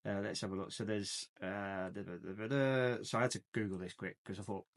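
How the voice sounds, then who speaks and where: A man's voice: lowish voice, fairly even intonation, brisk. A man co-hosting a casual, chatty discussion about films and games, often thinking aloud. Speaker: podcast co-host.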